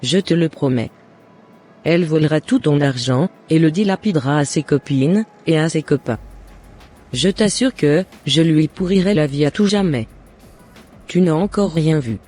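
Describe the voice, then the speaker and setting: A man voicing a jealous, scheming mother and neighbour in an animated moral drama, with deliberate scripted phrasing. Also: a little high. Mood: bitter, threatening. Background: faint music.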